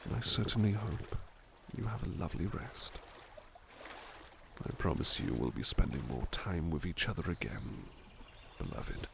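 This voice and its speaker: Man acting as devoted butler, ASMR roleplay, lowish creaky voice, tender, polite, hesitant, faint background noise. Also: sing-song, moderate pace, full character voice. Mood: tender, sleepy. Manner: tender, hesitant, courteous, softly reassuring. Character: devoted, gentle, polite male butler companion. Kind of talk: ASMR roleplay, soft personal attention.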